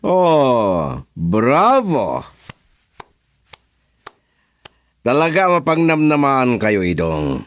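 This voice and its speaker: An actor playing a domineering crime boss in a radio drama, with an Ilocano accent. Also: male voice, medium pitch, huge pitch swings, slow pace. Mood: eager, pleased. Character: domineering male crime boss giving orders to henchmen.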